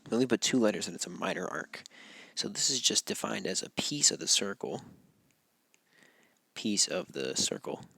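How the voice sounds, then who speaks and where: A man teaching a geometry lesson, losing his voice, talking in a relaxed way and often checking in with "right?". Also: on the low side, normal speed, vocal fry, long pauses, slightly rough, no room sound. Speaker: math teacher. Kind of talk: recorded classroom walkthrough.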